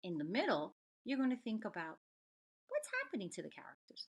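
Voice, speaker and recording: A woman teacher reading aloud to young children, explaining things deliberately and speaking to them directly with expressive storybook delivery. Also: medium pitch, vocal fry, husky, lo-fi sound.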